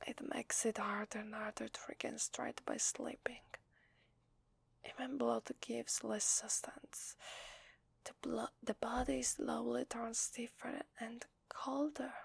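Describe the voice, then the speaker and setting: A woman with an Italian accent voicing a weary, desperate vampire in a hesitant, breathy ASMR roleplay whisper. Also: a little high, level tone, dry recording, full character voice. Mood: weary, confiding. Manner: hesitant, slow, confiding. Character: weary, desperate, apologetic young-sounding female vampire.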